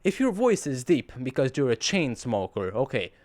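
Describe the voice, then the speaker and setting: A teenage boy with a deep radio voice, talking earnestly to camera in a rambling vlog monologue with sarcastic asides. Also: big pitch swings, moderate pace, a little mellow. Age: teens. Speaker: vlogger.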